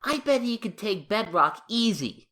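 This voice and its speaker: A woman's voice: mid-range voice, very animated, measured pace, a bit bright. A woman playing a cocky, argumentative young superhero fan in a comic dub, brash and slangy. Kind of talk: comic dub character acting.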